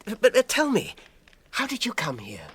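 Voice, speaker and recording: Young british hobbit, earnest n hesitant, husky, highish voice w lots of swing, radio drama. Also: male voice, huge pitch swings, moderate pace, a bit bright, exaggerated voice. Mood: warm, eager.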